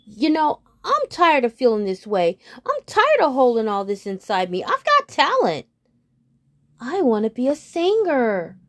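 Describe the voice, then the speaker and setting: Woman storyteller doing a young frog dreamer, bright and high, nervous stammer. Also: animated, measured pace. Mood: eager. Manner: eager, stammering when nervous. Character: young male frog, shy but hopeful dreamer.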